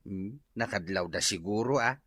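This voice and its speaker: A man's voice: on the low side, moderate pace, fairly bright tone, dry recording, studio quality. A man playing a disapproving neighbour who puts up with village gossip in an Ilocano radio drama. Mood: wry.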